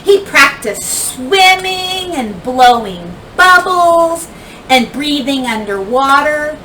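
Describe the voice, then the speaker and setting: A woman reads a children's storybook aloud in a high, bright voice. She takes it very slowly, leaning on key words and leaving dramatic pauses like a classroom teacher. The recording sounds a little distant from the microphone. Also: sing-song. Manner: deliberate storyteller phrasing with dramatic pauses and emphasis. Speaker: teacher reading a picture book aloud. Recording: distant mic, faint background noise.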